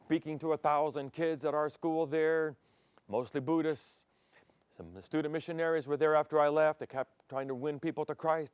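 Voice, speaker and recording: A man's voice: medium pitch, no echo. Older male pastor preaching in a dry studio. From Thailand. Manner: animated preaching, swings from loud emphasis to soft intimacy, gestures freely. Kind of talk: televised sermon. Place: TV studio set. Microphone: lapel.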